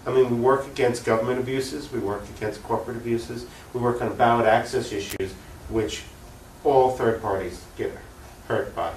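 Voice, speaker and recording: An American man comes through a roomy, slightly distant mic with faint noise behind him. He has a medium-pitched voice and speaks in an earnest, emphatic way, like an activist answering questions in an unscripted interview. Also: steady tone, moderate pace, darkish tone. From United States. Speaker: interview guest, political activist. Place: echoey room, cable TV interview.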